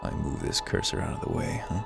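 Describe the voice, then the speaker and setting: A man hosting a let's play, giving wry, offhand commentary and reading game text aloud. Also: a little fast. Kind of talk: casual gameplay commentary. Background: faint game music.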